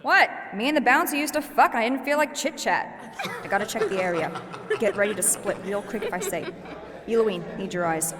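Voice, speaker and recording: An actress playing a tough, blunt, foul-mouthed thief who leads a fantasy band, in an audio drama. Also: female voice, mid-range voice, brisk, crowd noise, close to an everyday voice. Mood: brusque, irritated. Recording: long hall-like reverb.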